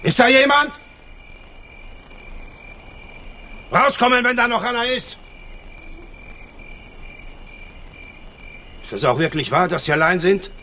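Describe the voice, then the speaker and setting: A man's voice: high-pitched, lively intonation, fast talker, sharp tone, a little hiss or hum. An actor playing a wary rural family man in a German radio drama, blunt, bossy and full of questions. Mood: alarmed, commanding. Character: gruff, suspicious rural family patriarch with lamp.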